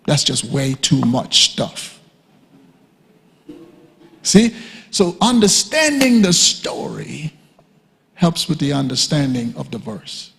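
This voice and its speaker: A man teaching a sermon at a conference, emphatic and repetitive, often leaping high to act out quoted speakers. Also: sing-song, takes it slowly, creaky, pauses often, fairly bright tone. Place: church hall. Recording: reverberant hall.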